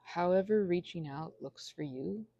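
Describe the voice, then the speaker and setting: Woman, 30s, low and creaky, earnest and halting, intimate ASMR ramble with a self-deprecating streak. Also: dark tone, dry recording, muffled recording. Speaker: ASMR channel creator. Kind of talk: rambling confessional ASMR monologue.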